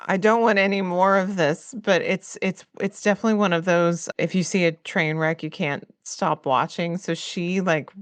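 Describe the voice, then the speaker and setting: A woman playing a tabletop roleplaying game, casually improvising and thinking aloud in a halting way. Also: lowish voice, steady tone, clear, resonant tone, dry recording.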